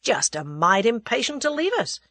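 A woman's voice: a little high, sing-song, normal speed, sharp tone, no room sound, voice pushed up, heavily acted. A woman voicing a brash, cool-headed young American man in an audiobook, with breezy, commanding, slangy delivery. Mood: breezy, wry.

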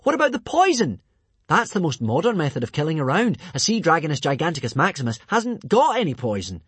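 Man as a high, urgent plucky boy hero. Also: very animated, a little fast, husky, dry recording, full character voice. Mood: urgent persuasion. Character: plucky quick-thinking young boy hero, anxious but bold. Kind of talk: children's audiobook character voice.

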